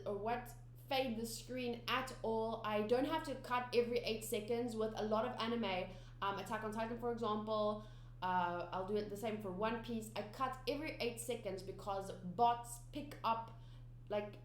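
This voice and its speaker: A young South African woman whose first language is Afrikaans, chatting casually to her viewers in a rambling way with many fillers and restarts. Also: medium pitch. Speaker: anime reaction channel host. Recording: echoey room with faint background noise.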